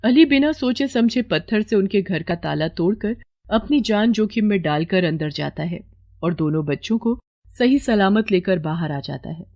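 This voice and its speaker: A woman's voice: mid-range voice, lively intonation, normal speed, dark tone, lo-fi sound. A woman narrating a scripted moral story as storyteller.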